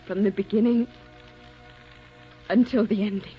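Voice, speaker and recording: An actress playing a passionate, wistful young baroness in love in an old-time radio romance, on a muffled broadcast with faint music. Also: female voice, medium pitch, fairly even intonation, unhurried, close to an everyday voice. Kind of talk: 1940s radio romance acting.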